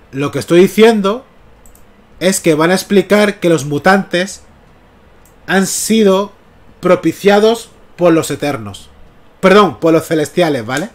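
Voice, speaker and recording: A man in his thirties with a Canarian Spanish accent, chatting casually on a comics livestream through a headset mic in a small room at home. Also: sits high. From Canary Islands. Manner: chatty, often checks in with "¿vale?". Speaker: comics streamer. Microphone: gaming headset mic.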